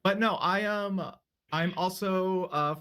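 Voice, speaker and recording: A man's voice: sits high, slow pace, sharp tone, clean tone. A man chatting casually as a panelist, rambling and often restarting mid-sentence. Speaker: panelist, drag king streamer.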